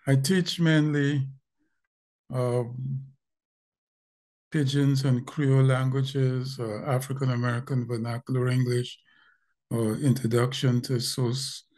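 An older man from Guyana with a Guyanese accent, speaking as a guest in a video call interview, thoughtful and pausing, often repeating words as he searches for them. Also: medium pitch, darkish tone. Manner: slow, thoughtful, with frequent pauses and repeated words. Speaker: linguist guest. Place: home room indoors. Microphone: video call webcam.

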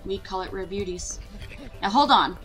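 A woman's voice: medium pitch, normal speed, a bit bright, faint music behind, natural voice, poor audio. A woman playing a composed, proud paleontologist in an audio drama, speaking in a formal, explanatory way. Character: composed, proud female paleontologist at dinosaur facility. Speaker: actress in a fan audio drama. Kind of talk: full-cast audio drama acting.

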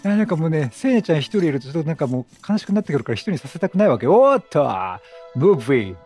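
A man's voice: on the high side, very animated, very fast, dark tone, faint music behind. A man giving casual let's play commentary, chatty and reacting aloud, questioning and repeating lines. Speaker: gaming streamer.

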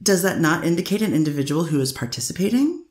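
A lower-voiced woman attorney questions a witness in an echoey courtroom. She builds her case with leading questions and tag endings that push for agreement. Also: big pitch swings, fast, a little mellow. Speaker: defense attorney. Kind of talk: courtroom cross-examination.

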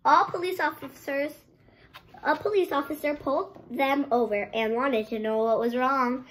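A young girl reading a story aloud with dramatic, drawn-out emphasis, sometimes stumbling over words. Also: sits high, fairly even intonation, a bit bright, slight background noise, rough recording. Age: child, around 8 to 10. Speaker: child presenter reading a picture book aloud. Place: bedroom, indoors.

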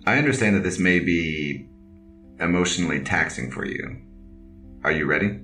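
An actor playing a dutiful male officer in a space drama, speaking in a measured, formal, matter-of-fact way. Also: low voice, expressive, sharp tone, distant mic, full character voice. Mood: calm, concerned. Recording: off-mic with faint music behind.

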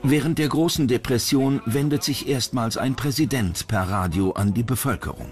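A man's voice: mid-range voice, moderate pace. A man from reading scripted documentary narration. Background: music bed under the voice.

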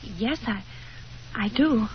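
A woman playing a painfully shy young daughter, timid, hushed and hesitant, in a 1950s radio drama. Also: on the high side, lively intonation, slow pace. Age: young adult. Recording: muffled, noisy 1950s radio recording.

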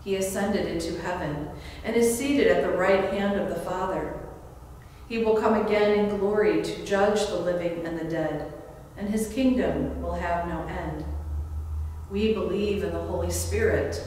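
Woman pastor praying slowly in an echoey church. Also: fairly low pitch, darkish tone, a little hiss or hum. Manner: measured, solemn, slow responses. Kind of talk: liturgical prayers in a worship service. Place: large church sanctuary. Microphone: stand mic at a lectern. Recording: echoey sanctuary, picked up from a distance.

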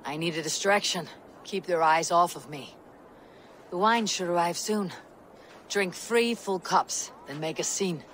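An actress playing a stern, determined young Viking warrior woman in a video game, with a husky voice. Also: on the low side, unhurried, a bit bright, slight background noise. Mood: firm, secretive. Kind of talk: video game dialogue acting.

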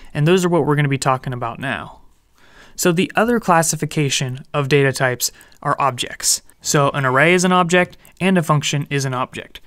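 A young man explaining programming in a relaxed, friendly way over a screen recording, with light jokes. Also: on the high side, clean tone, no echo. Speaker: programming instructor. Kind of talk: screen-recorded coding tutorial.

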